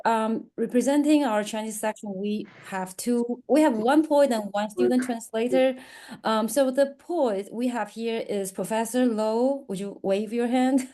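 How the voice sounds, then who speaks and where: A woman giving an informal spoken introduction as an event presenter, with halting, hesitant phrasing. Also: medium pitch, warm tone.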